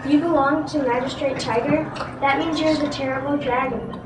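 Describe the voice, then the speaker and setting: A woman's voice: high-pitched, fairly even intonation, moderate pace. A female performer reading narration and lines in an amateur readers theatre show. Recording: very noisy echoey room, distant mic.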